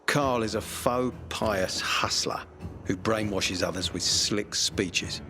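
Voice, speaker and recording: Deep, stern military officer, music behind. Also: male voice, takes it slowly, pushed and bright. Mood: scornful. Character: stern, commanding male military peacekeeper officer. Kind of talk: video game cutscene acting.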